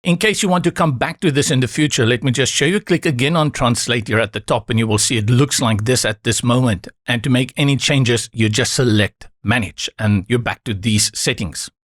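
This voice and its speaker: Calm man walking through a software tutorial. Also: mid-range voice, a little fast, hardly any pauses, no room sound, studio quality. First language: Afrikaans. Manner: calm, instructive, reassuring asides. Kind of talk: screen-recorded step-by-step tutorial.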